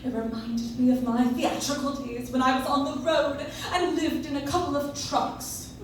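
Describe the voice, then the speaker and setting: A woman's voice: sits high, steady tone, normal speed, close to an everyday voice. An actress playing an enthusiastic lady drama coach in a scripted 1940s radio advertisement. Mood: gushing. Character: gushing lady drama coach endorsing soap flakes. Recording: echoey, distant, noisy audience-member recording.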